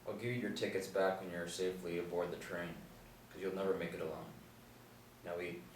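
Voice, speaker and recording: An actor playing a gruff, guarded hunter in a script table reading, terse and folksy. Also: male voice, low-pitched, steady tone, a little fast, lightly acted. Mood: stern. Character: gruff hunter, guarded wilderness survivor, hardened man. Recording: roomy, distant mic, clearly noisy.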